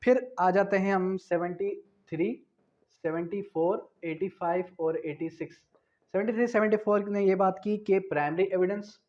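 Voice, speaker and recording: A man's voice: sits high, moderate pace, a little mellow. A man with a Pakistani accent giving an explanatory law lecture, didactic, posing questions and answering them himself, mixing in English terms. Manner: switches between Urdu and English terms. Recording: dull lo-fi lecture audio.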